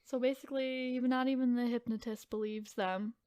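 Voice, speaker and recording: A woman with a fairly high voice co-hosts a podcast. She tells things in an informal, conversational way, sometimes reading from prepared notes. The sound is dry, with very little room echo. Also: steady tone, brisk, a little mellow, clean tone. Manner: informal, filler-heavy, hedging retelling. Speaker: podcast co-host telling the story. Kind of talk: casual chat mixed with reading from notes.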